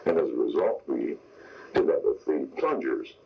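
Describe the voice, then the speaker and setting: A man's voice: extremely low pitch, big pitch swings, normal speed, creaky, a little hiss or hum. An older man, a veteran radio sound effects man, explaining his craft step by step in an interview. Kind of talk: interview reminiscence. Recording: dull lo-fi radio broadcast tape.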